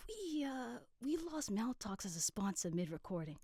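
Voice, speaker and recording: A woman's voice: medium pitch, very animated, normal speed, warm tone, no echo. A woman playing a harried, defensive male radio producer in a parody radio play, muttering hesitantly.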